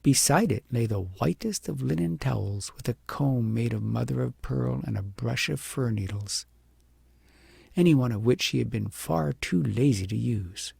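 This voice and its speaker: A man narrating an audiobook fairy tale expressively, with deliberate, dramatic emphasis. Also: medium pitch, expressive, creaky, warm tone, breathy edge, dry recording, studio quality.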